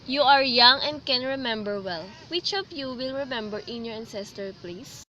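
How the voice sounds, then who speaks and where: A woman acts a solemn village leader in an amateur radio drama. Her voice is bright and fairly high. The recording is dull, with faint noise. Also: normal speed. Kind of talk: student radio drama acting. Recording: dull, lo-fi noisy student recording.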